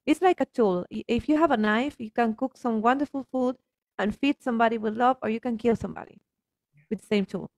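Argentine woman with a creaky voice. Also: fairly high pitch, a little fast, darkish tone, no echo. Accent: Argentine Spanish. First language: Spanish. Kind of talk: reflective coaching conversation.

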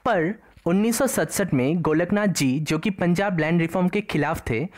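A woman's voice: fairly low pitch, normal speed, a little mellow. A woman narrating a slide-based exam-prep lecture, explaining each term in two languages. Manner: explains each term twice, in Hindi and in English.

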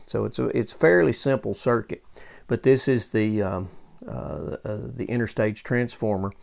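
A man giving a casual, unscripted technical walkthrough as a tutorial presenter, halting as he thinks aloud. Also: lowish voice, gravelly. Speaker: presenter of vintage radio repair tutorial. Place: indoors at a workbench.

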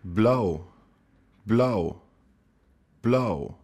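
Very slow, low-voiced male language teacher. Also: expressive, a little mellow. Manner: says each phrase three times, slow and clear. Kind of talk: slow repeated vocabulary drill.

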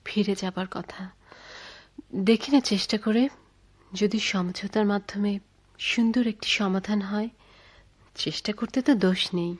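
An actress playing a devoted, questioning lover in a radio drama, earnest and emotive with dramatic pauses. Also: female voice, mid-range voice, fairly even intonation, natural voice. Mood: earnest, troubled. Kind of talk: Bengali radio drama acting.

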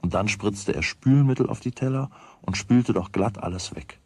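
A man narrating a children's radio play as a wry, deliberate storyteller with a husky voice. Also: lowish voice, a little mellow, dry recording. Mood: wry, measured. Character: wry storyteller of a boy's everyday troubles.

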